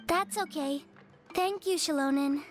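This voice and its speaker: A gentle, polite little girl in a video game, with a very high, earnest voice over faint background music. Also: a little slow.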